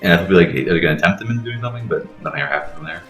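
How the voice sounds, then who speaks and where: Low-voiced, fast-talking man in an echoey room. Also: expressive, a bit bright. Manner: rambling, explanatory, frequent swearing. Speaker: let's play host explaining the game. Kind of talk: casual game commentary. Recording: roomy echo, faint game music behind.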